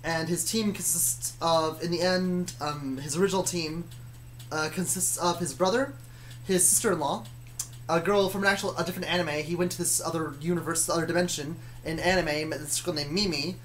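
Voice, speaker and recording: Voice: mid-range voice, steady tone, brisk, fairly bright tone, a little hiss or hum. A person telling stories about their own fictional universe in a casual, rambling, hesitant way, often correcting themselves. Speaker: storyteller.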